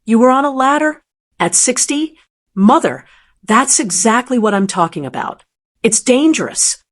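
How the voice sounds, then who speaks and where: A woman voicing a scheming daughter-in-law with a bright, polished, hollow tone and sugary fake concern. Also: a little high, expressive, normal speed, voice pushed up.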